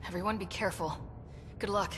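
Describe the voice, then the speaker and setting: A woman's voice: mid-range voice, steady tone, fast talker, noisy background, close to an everyday voice. An actress playing a defiant young woman who leads space explorers in a game, speaking in a direct, wry way. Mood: urgent, focused. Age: young adult. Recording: music underneath.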